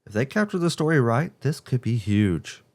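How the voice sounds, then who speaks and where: A man's voice: mid-range voice, big pitch swings, moderate pace, a little mellow. A man co-hosting a video game show, reading a scripted presentation. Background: background music.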